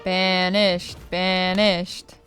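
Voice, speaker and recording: A woman's voice: fairly low pitch, flat delivery, drawn-out words, a bit bright. A woman giving casual, reactive gaming commentary, repeating herself and swearing now and then. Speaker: let's player. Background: game music.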